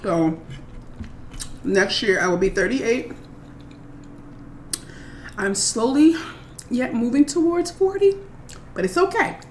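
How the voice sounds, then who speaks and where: A woman's voice: on the high side, slow, pauses often. A woman in her thirties from Maryland, chatting casually and rambling through viewer questions on her eating show. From Maryland, USA. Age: 30s. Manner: rambling, chatty, relaxed, with many fillers. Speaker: mukbang vlogger. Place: home. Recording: echoey room, faint background noise.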